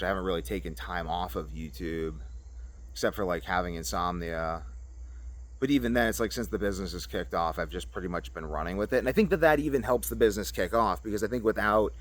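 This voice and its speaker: Low-voiced man vlogging casually. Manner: rambling, thinking aloud. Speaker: YouTube vlogger. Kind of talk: casual off-the-cuff monologue to camera.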